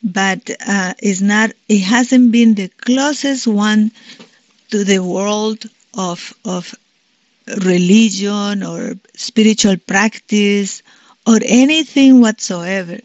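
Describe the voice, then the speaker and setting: A woman in her sixties from Colombia with a Colombian Spanish accent, telling her life story in a reflective way, pausing and restarting often. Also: medium pitch, no room sound, highs cut off. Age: 60s. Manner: reflective, many restarts and pauses, soft-spoken. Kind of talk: personal life story, unscripted.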